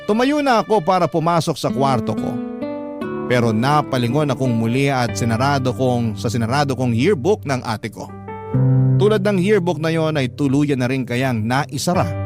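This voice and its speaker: A man's voice: medium pitch, lively intonation, normal speed, over loud music, lightly acted. An actor with a Filipino accent playing a wistful, hopeful man narrating his lost love in a radio drama. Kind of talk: scripted first-person radio drama narration.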